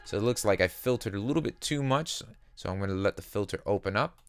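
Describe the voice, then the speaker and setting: Low, clear-voiced man teaching music production. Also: animated, fast talker, no echo. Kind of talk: conversational software tutorial.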